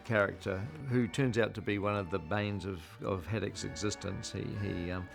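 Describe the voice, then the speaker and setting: A man's voice: lowish voice, steady tone, moderate pace, vocal fry, over music. A man, a filmmaker, explaining choices in a documentary interview in a thoughtful way, with frequent restarts.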